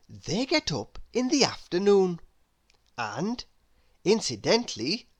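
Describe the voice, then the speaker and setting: A woman reading a children's story aloud as an expressive, unhurried storyteller. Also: on the low side. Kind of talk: children's read-aloud narration.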